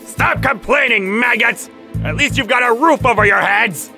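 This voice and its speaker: Barking, gruff mine foreman. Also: high-pitched, sing-song, a little slow, over music, full character voice. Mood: angry barking. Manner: barking, domineering. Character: bullying, gruff middle-aged male mine foreman. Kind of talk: anime dub acting.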